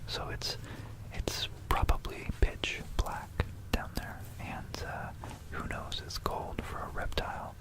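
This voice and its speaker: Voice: takes it slowly, hardly any pauses, rough recording. A person whispering an informal, musing history talk for ASMR, correcting themselves along the way, close to a stereo microphone. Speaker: ASMR video creator. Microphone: close stereo binaural microphone.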